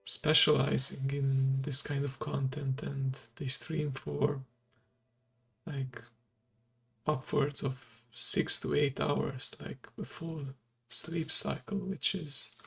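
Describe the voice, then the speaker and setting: A man whose first language is Hungarian, streaming as a VTuber in a soft ASMR style, speaking slowly and quietly and slipping into a whisper. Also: mid-range voice. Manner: slow, hushed and gravelly, drifting into whisper. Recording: faint background music.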